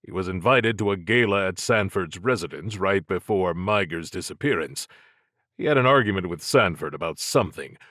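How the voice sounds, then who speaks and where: A man voicing a plain-spoken, steady American investigator in an audiobook, questioning in a matter-of-fact way. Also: deep voice, normal speed, no echo, clean studio sound. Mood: probing. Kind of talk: audiobook character dialogue.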